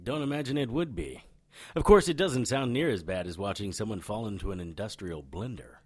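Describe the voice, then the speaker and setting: A lowish, lively male voice for a cocky occult private eye. He is wry and irreverent, tossing off wisecracks in noir audio drama style. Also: brisk, husky. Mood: cocky probing. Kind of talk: audio drama dialogue and first-person narration.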